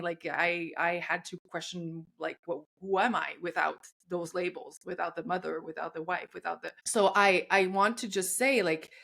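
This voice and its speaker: A woman host whose first language is French, talking casually and often stopping to search for the right words. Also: medium pitch. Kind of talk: casual interview talk.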